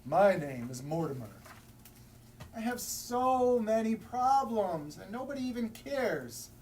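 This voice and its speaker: A man's voice: sits high, big pitch swings, takes it slowly, darkish tone, pitched above the performer's own voice, heavily acted. A man voicing a grumpy, whiny penguin who complains peevishly in a children's picture-book read-aloud. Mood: exasperated. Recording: distant mic, faint background noise.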